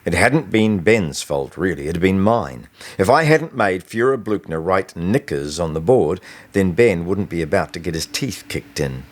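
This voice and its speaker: A man reading his own novel aloud as expressive, scripted audiobook narration. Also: sits low, moderate pace.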